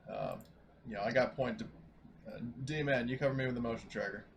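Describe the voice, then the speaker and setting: A man's voice: mid-range voice, a little fast, a little hiss or hum. A man chatting casually as a tabletop game player, informal and hesitant in how he talks.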